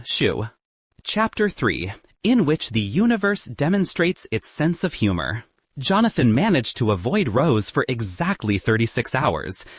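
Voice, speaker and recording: A man's voice: mid-range voice, slightly rough, no echo. A man narrating scripted fiction as an audiobook reader.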